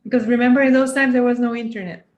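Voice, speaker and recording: A woman's voice: on the high side, fairly even intonation, fast talker, roomy sound, muffled recording. A woman from Costa Rica, a yoga teacher, speaking earnestly and reflectively as an interview guest. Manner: soft-spoken, earnest, frequent restarts.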